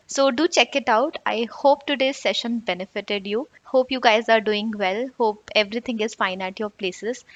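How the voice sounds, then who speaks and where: A woman hosting her channel, thanking a guest and gently encouraging her viewers. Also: fairly high pitch, no room sound, dull audio. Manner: warm, appreciative, gently encouraging. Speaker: channel host. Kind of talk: direct-to-camera closing remarks.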